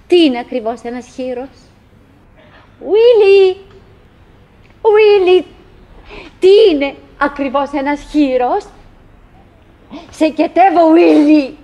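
A high-pitched, wistful wife in a radio drama. She chatters to herself in broken, repeated phrases, lonely and theatrical, leaving pauses between thoughts. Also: warm tone. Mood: insistent, calling out. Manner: fragmented, repetitive musing with pauses and self-address. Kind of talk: theatrical radio play acting, monologue.

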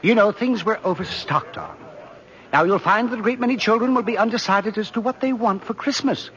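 An actor playing an eager, officious department store manager in brisk 1940s radio style. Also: male voice, high-pitched, a bit bright. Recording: dull old radio recording, faint music.

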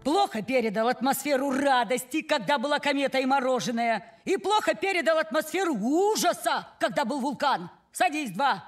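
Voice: high voice, expressive, moderate pace, few pauses, faint music behind. An actor voicing a stern, disapproving cartoon schoolmistress, critical and clipped. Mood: scolding. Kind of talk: cartoon voice acting.

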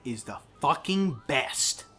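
A man's voice: medium pitch, animated, slow, bright, forceful tone, faint music behind. A young man chatting casually while he plays a video game, teasing and swearing as he banters. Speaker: let's play co-host playing the game. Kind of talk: casual chat while gaming.

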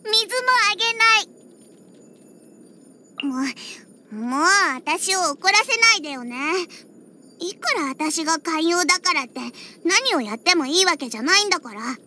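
A woman's voice: very high voice, very animated, moderate pace, long pauses, sharp tone, full character voice. A woman voicing a feisty little catgirl in an anime game, with a childlike, cutesy voice and a huffy, pouty manner. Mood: indignant, pouty. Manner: pouty, huffy, with cat-like verbal tics. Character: feisty little catgirl bartender who hates alcohol. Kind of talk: Japanese anime game character acting. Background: background music.

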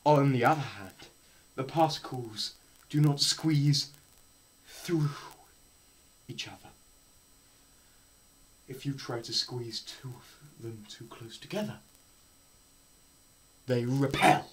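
A young man performing a theatrical scripted lecture, melodramatic, swinging between hushed murmurs and loud cries. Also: medium pitch, takes it slowly, long pauses, a little hiss or hum, rough recording. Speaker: presenter performing a dramatised physics lecture. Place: small plain room indoors.

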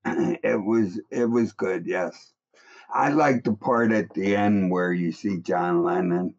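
A man's voice: low-pitched, slow, darkish tone, muffled recording. An older man chatting casually from his home on a video call. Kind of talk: casual chat.

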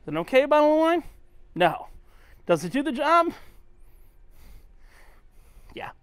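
A man's voice: very high-pitched, sing-song, a little slow. A tipsy man vlogging aboard a cruise ship, talking bluntly with plenty of swearing and sometimes dropping to a mutter. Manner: blunt, swearing, ranting, drops to a mutter at times. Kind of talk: casual walk-and-talk vlog.

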